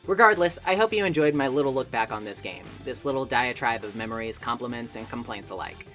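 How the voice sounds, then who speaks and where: A man's voice: medium pitch, animated, fairly quick, a bit bright. A man delivering scripted video essay narration in an emphatic, conversational and wry manner. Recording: music playing behind the voice. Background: background music.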